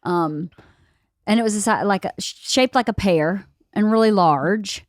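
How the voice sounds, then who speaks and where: A woman's voice: medium pitch, a little slow, no echo. An American woman in her forties chatting casually on a podcast, teasing with dry humor. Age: 40s. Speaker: podcast host. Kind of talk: casual book-club chat. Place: indoor lounge studio, seated. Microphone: podcast microphone on boom arm.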